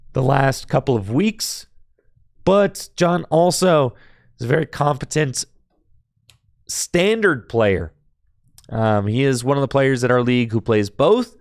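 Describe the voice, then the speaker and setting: Hoarse commentator, slow and smooth. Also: male voice, fairly high pitch, dry recording, studio quality. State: hoarse, losing his voice. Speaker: tournament stream commentator. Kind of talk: play-by-play card game commentary.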